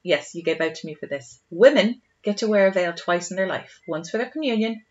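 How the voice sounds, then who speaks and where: A woman's voice: fairly low pitch, expressive, brisk, a little mellow. An Irish woman co-hosting a podcast, chatting casually in an emotive, rambling way. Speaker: podcast co-host. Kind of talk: casual chat. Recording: slightly dull, lo-fi sound.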